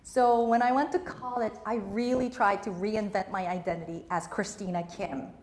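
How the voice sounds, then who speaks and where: A woman's voice: mid-range voice, fairly even intonation, normal speed, a little mellow, roomy sound. A young woman, a native Korean speaker raised in Southeast Asia, giving an emphatic motivational talk on stage and repeating her key phrases. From Korea, raised in Malaysia and Singapore.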